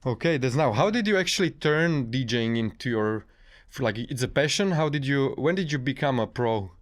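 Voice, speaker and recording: A man's voice: medium pitch, animated, moderate pace. Man interviewing casually, non-native English. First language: not English. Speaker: interviewer, radio host.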